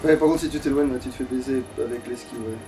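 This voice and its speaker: A man's voice: mid-range voice, fairly even intonation, fast, recorded from a distance, music in the background, low-quality recording. A man giving casual gaming commentary to a co-player, foul-mouthed and grumbling. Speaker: let's player.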